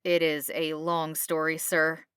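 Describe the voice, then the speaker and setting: A woman's voice: low-pitched, little pitch movement, measured pace, fairly bright tone, smooth, no echo, clean studio sound. A woman voicing an earnest, loyal retired male soldier in a storybook, politely pleading his case. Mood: relieved.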